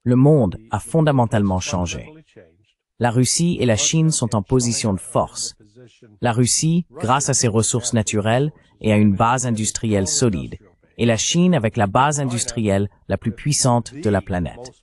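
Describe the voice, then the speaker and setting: A man reading translated political commentary in a measured, explanatory way, as a voice-over. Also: mid-range voice, expressive, a little mellow. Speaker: panel commentator.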